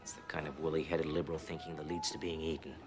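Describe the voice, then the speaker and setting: An actor playing a stern, middle-aged American school principal in a TV drama, clipped and disdainful. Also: male voice, a bass voice, little pitch movement, quick pace. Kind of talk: TV drama acting. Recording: TV show audio with background music.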